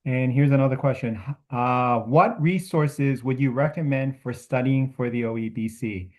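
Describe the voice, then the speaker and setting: Webinar moderator, man, halting delivery, reads out questions. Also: mid-range voice, darkish tone. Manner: halting, with many restarts and fillers. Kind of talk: hosting a webinar Q&A.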